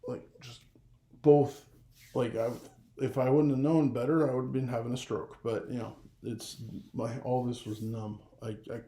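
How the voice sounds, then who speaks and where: A young man telling a personal story in a casual vlog, rambling and animated, with a gravelly voice. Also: mid-range voice, normal speed. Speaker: vlogger.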